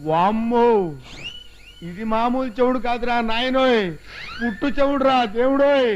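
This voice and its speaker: An actor playing an exasperated priest who wails and laments melodramatically in a film comedy. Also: male voice, very high voice, fairly even intonation, moderate pace, muffled recording, a little hiss or hum. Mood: wailing.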